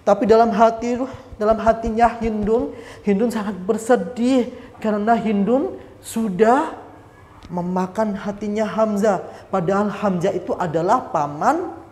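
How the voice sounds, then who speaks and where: A woman telling a religious story to children, animated and speaking directly to her young listeners. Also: mid-range voice, a little slow. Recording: echoey hall reverb.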